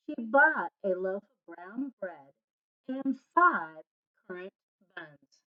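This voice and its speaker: Slow-paced woman reading a children's story. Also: medium pitch, lively intonation. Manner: slow, deliberate pauses between short phrases. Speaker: storybook reader. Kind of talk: children's read-aloud storytelling. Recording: dull lo-fi recording.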